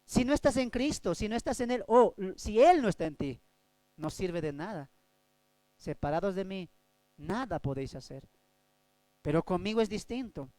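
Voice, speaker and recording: A man from Bolivia, preaching a Bible study sermon as a pastor, swinging between loud and soft delivery. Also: high voice, expressive, normal speed, long pauses, no echo, poor audio.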